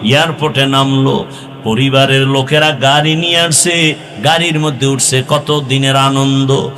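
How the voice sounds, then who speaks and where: A man's voice: medium pitch, flat delivery, normal speed, a bit bright. A man with a Bangladeshi accent preaching an impassioned Islamic sermon to young people, chanting and drawing out his exhortations. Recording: long hall reverb with music behind.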